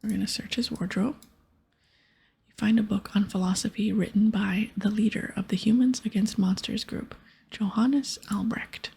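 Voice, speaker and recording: A woman softly whispering an ASMR video game playthrough, mixing hushed, unhurried reading with casual asides. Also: medium pitch, fairly even intonation, poor audio. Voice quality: breathy, soft whisper. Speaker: streamer reading game dialogue aloud.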